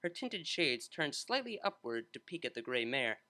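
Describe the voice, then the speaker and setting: A man narrating a scripted story in an expressive reading style. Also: medium pitch, normal speed.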